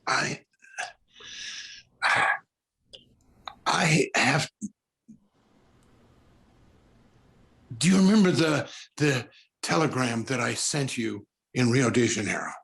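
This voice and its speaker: A male actor playing a tormented, unraveling concert pianist in a 1940s-style radio drama, stammering and halting in an overwrought way. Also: medium pitch, creaky, fairly bright tone. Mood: anguished, hesitant.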